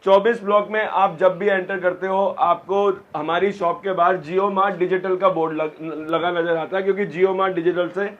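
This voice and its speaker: This is a man with a fairly high voice, a little hoarse from a sore throat. He reels off phone prices in short, clipped lists, like a seller pitching in a small shop. The sound is dull and lo-fi. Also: steady tone, normal speed, hardly any pauses.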